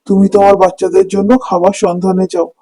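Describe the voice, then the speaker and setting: Man playing a mellow, sorrowful poor fisherman. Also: high voice, monotone, fast. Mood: compassionate. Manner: plaintive, lamenting. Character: poor village fisherman father, sorrowful and kind-hearted. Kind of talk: cartoon dubbing. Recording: music under the voice.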